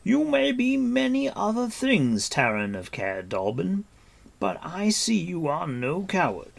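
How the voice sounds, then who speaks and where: A man playing a noble, wise warrior lord who speaks formally with measured authority in a storybook reading. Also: high-pitched, huge pitch swings, gravelly, pitched above the performer's own voice, full character voice. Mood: gently chiding. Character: noble, wise, commanding warrior lord, grave but gentle.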